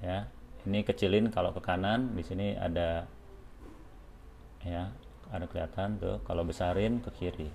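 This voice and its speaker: A man's voice: deep voice, steady tone, moderate pace, gravelly, pauses often, a little mellow, slight background noise. A man. He presents a product tutorial in a casual, hands-on way. His delivery is informal, moving step by step with little check-in tags along the way.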